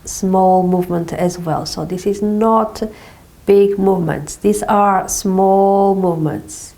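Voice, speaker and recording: Female movement teacher, stuffy nose from a cold, slow mellow delivery. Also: mid-range voice. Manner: slow, calm step-by-step guidance with frequent "okay". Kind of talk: guided exercise instruction.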